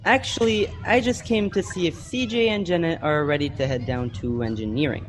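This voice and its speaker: A man's voice: on the high side, moderate pace, lightly acted. A young male performer playing a teenage boy, a reassuring big brother who takes charge, in a sci-fi radio play.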